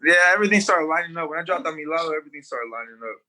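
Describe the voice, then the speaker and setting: A man's voice: on the high side, expressive, racing, a crowd in the background. A man being interviewed as a guest on a podcast, talking loosely with frequent restarts and casual swearing. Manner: loose, rambling, swears freely, many restarts. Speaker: guest musician.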